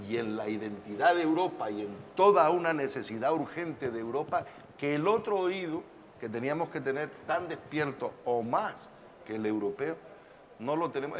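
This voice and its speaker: Canarian man holding forth in a hall. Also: fairly high pitch. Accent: Canarian Spanish. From Canary Islands. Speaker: panelist, novelist. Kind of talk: panel discussion. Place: large hall at a public panel. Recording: hall reverb with faint noise.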